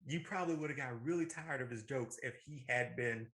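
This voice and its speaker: A man's voice: mid-range voice, a little fast, fairly bright tone. A man co-hosting a casual, opinionated podcast, telling stories in a colloquial, emphatic way. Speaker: podcast co-host. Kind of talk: casual opinionated chat about films.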